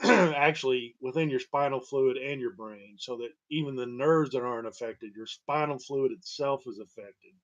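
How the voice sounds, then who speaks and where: A man telling a personal story in a halting, digressive monologue with a wry, emphatic manner. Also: mid-range voice, muffled recording. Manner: halting, digressive, wry, chuckling at grim details. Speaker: storyteller and host. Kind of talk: rambling personal monologue about own medical history.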